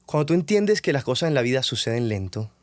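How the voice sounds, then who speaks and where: A man talks quickly through his story on a motivational podcast. He is young and lively, playing out the questions he once put to himself. The sound is close and dry, with no room echo. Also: mid-range voice. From Colombia. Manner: animated, acts out his own past self-questioning. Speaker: podcast host.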